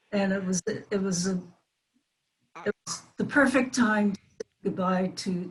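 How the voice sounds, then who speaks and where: A woman in her eighties, reminiscing as a panel guest in a halting, deliberate manner. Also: medium pitch, poor audio. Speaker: panel guest, former child radio actress.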